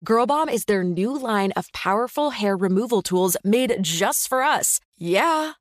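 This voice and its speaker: A woman's voice: mid-range voice, expressive, measured pace, no echo, clean studio sound. A woman presenting an ad with an upbeat, bubbly and encouraging read.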